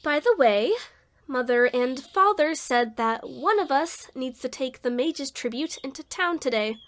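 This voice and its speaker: A woman's voice: sits high, animated, unhurried. A woman playing a haughty, teasing sister in an audio drama, smug and mock-formal.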